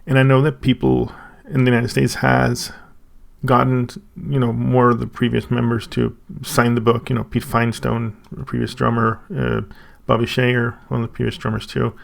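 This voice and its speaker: Swedish guy unboxing stuff, super gravelly voice, lots of stops and restarts. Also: medium pitch, completely flat delivery. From Sweden. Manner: halting, thinking aloud with many restarts. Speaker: channel host. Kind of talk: casual unboxing review.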